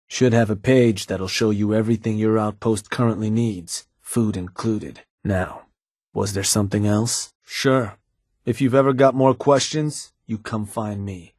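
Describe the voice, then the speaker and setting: An actor playing a calm, seasoned male raider advisor who talks in an easygoing, folksy drawl. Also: on the low side, dry recording, close to an everyday voice. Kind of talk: game voice acting. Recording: dry studio recording.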